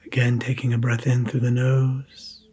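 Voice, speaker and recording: A man guiding a scripted meditation in a soft, hushed, breathy manner, leaving long pauses between phrases. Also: mid-range voice, monotone. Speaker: meditation guide.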